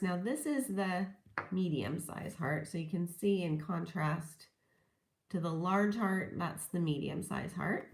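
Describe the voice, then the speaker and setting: A woman demonstrating papercrafting in a gentle, chatty tutorial, a little hoarse from a lingering cold. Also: on the low side, roomy sound. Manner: gentle, chatty, laughs softly while working. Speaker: papercrafting instructor. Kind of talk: casual craft tutorial demonstration.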